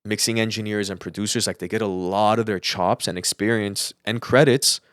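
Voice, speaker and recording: A young American man with a fairly low voice, recorded dry and close. He tells an anecdote in loose, casual podcast style, playing out both sides of conversations as a co-host would. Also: brisk, no room sound. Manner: animated retelling with acted-out quotes.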